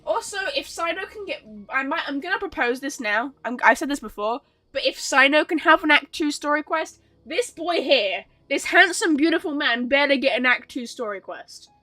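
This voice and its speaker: Bright, high-voiced woman streaming a game. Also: animated, moderate pace. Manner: chatty, rambling, playful, swears casually. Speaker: livestreamer. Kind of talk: casual gaming stream chat.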